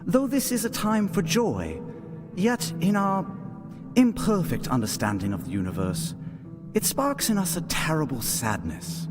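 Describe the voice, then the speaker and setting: An actor playing a solemn male cult leader, preaching a funeral sermon in a measured, lofty, oratorical manner. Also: high-pitched, very animated, music in the background. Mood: solemn, fervent. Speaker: religious leader. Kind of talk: ceremonial sermon, dramatic audio acting.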